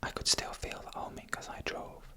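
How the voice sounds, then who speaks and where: A narrator telling stories in a soft, unhurried ASMR whisper, close to the microphone. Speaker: narrator of scary UFO encounter stories. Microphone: close microphone for headphone listening.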